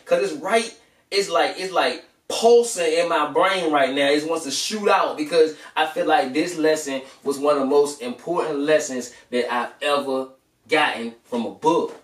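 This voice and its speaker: A man's voice: on the high side, lively intonation, a little slow, a bit bright. A young American man hosting a children's bedtime read-aloud in an informal, slangy way. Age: young adult. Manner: informal, slangy, addressing listeners familiarly. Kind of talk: casual chat to children and storybook reading. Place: small room at home, indoors. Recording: echoey room, distant camera microphone.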